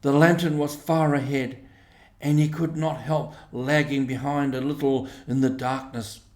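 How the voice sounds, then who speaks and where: In a roomy, echoing space, a man reads a storybook aloud. His delivery is slow and measured, with expressive storytelling and a medium-pitched voice. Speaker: audiobook reader. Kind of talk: scripted storybook narration.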